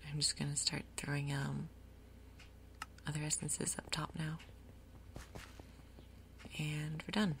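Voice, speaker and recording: A woman doing soft-spoken ASMR game commentary in a breathy half-whisper, with a casual, rambling, unhurried manner. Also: deep voice, level tone, a little hiss or hum. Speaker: gaming video host.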